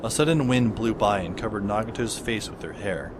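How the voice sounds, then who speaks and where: A man's voice: on the low side, brisk, background noise, lightly acted. A man playing a wry, reflective teenage boy who narrates his own story in an audio drama. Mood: wistful, wry. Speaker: narrator and lead character. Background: music under the voice.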